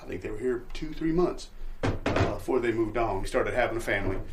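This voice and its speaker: A man playing a rambling, folksy landlord who pitches a shabby rental in improvised comedy, hedging and trailing off mid-sentence. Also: expressive, fast, background noise, natural voice. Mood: rambling, evasive. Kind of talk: improvised comedy audio theatre.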